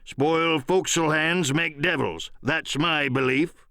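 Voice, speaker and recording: A man's voice: fairly high pitch, steady tone, unhurried, no room sound. Stern ship captain voiced by a male narrator, curt and dry, wary, close clean sound. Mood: disapproving. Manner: sharp, short, dry delivery.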